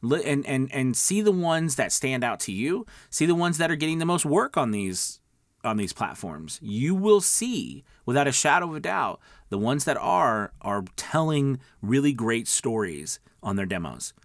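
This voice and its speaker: A man's voice: mid-range voice, sing-song, moderate pace, dry recording, clean studio sound. A man giving an informal, emphatic coaching talk to viewers as a video host, often checking in conversationally. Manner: emphatic, conversational, repeats check-in words like okay and all right.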